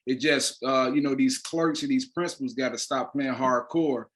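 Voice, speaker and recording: A man's voice: mid-range voice, normal speed, a bit bright. A man speaking as a producer and interview guest, explaining his work in an earnest, emphatic, conversational way.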